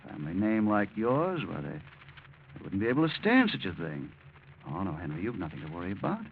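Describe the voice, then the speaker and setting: A cocky young schemer in an old radio play. His pitch swings freely as he soothes and taunts with slick false comfort. The recording is muffled, with background hiss and noise. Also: big pitch swings, fairly quick, creaky, heavily acted. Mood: slyly reassuring. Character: smooth, cocky young male blackmailer, mocking and scheming. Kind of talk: 1940s radio drama acting.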